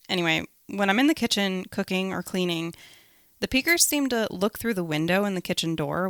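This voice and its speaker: A woman hosting a podcast in an informal, conversational way. Her voice is smooth and clear, recorded close and dry. She narrates personal material as if chatting with listeners. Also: fairly low pitch, fairly bright tone, no echo. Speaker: podcast host. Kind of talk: scripted personal storytelling with casual asides.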